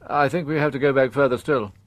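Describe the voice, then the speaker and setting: A man playing a dignified, middle-aged upper-class father in a radio drama, confessing in formal, halting, precise phrases. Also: mid-range voice, dry recording, close to an everyday voice. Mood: reflective, formal.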